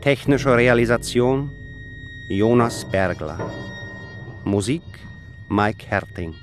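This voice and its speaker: A man formally reading the credits of a radio drama in a measured way. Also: medium pitch, expressive. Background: music.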